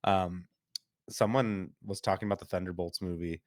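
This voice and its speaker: A man hosting a casual gaming podcast, stuffed up from a cold, laid-back and chatty and quick to laugh. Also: sits low, steady tone, no room sound, clean studio sound. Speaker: podcast host. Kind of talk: casual chat.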